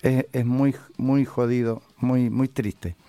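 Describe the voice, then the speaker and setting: An older man from Chile, a musician answering interview questions casually, halting and reflective as he searches for words. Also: medium pitch, steady tone, mellow, smooth, no echo.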